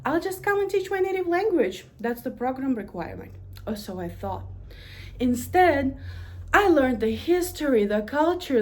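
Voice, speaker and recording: A woman with a Russian accent gives a prepared talk about her own journey. Her voice sits a little high for a woman, and she speaks earnestly. When she lists things, she stresses each item in a steady rhythm. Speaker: university administrator. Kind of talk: prepared personal story talk.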